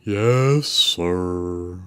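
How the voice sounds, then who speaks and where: Loyal junior officer, played by a man, grave and hushed, measured slow delivery, deferential to his commander, amateur audio theatre. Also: low-pitched, smooth, voice pushed down, exaggerated voice. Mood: earnest, hushed. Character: grave, loyal lieutenant questioning his admiral.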